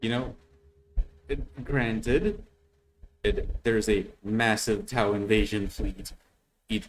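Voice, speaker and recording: A man hosting a reaction video, giving casual unscripted commentary in a halting way, often repeating words as he thinks. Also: on the low side. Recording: faint background noise.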